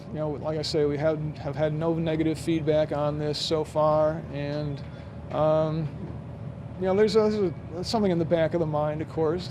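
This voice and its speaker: A man giving a hesitant, casual interview answer. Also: a little high. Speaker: newsstand manager being interviewed. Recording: clear street and traffic noise.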